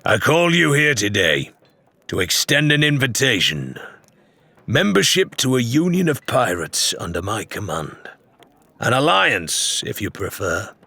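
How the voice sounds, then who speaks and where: A man plays an ambitious pirate captain making a speech in an audiobook. He is commanding and persuasive, with a raised, rough-edged voice in a dry recording. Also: medium pitch, sing-song, measured pace, pushed and bright, dry recording, exaggerated voice. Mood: rousing. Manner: commanding, oratorical. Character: ambitious, persuasive male pirate leader rallying a crowd.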